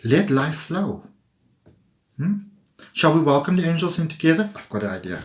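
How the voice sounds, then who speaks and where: Upbeat male tarot reader, a touch high-pitched, loose and chatty. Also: very animated, moderate pace, background noise. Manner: playful, chatty, laughs often. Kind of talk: casual tarot reading monologue.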